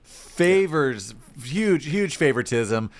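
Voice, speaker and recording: A man's voice: on the high side, animated, takes it slowly. Casual, riffing male podcast host. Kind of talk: casual comedic chat with friends.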